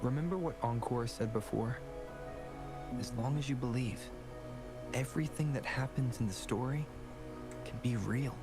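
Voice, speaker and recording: Man acting a gentle anime game companion over music. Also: medium pitch, normal speed, long pauses, close to an everyday voice. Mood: gentle encouragement. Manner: gentle, encouraging. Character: calm reassuring adult male companion in an anime game.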